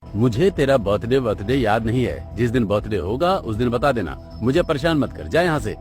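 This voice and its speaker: A man's voice: mid-range voice, lively intonation, normal speed, faint music behind, lightly acted. A man voicing a brusque young businessman brother in a cartoon, curt, irritable and dismissive. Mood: irritated, dismissive. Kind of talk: cartoon moral-story dialogue in Hindi.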